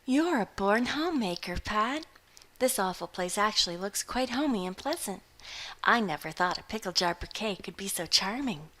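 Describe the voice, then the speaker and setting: Woman voicing a young woman, storybook reading, gushing, dreamy, excitable. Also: medium pitch, moderate pace.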